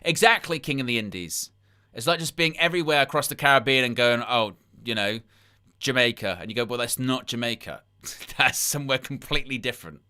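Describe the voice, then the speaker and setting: Bright, crisp-voiced man hosting a livestream. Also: mid-range voice, fairly quick. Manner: incredulous, rambling asides; reads chat comments aloud and plugs likes. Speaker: wrestling livestream host. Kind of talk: live watchalong commentary.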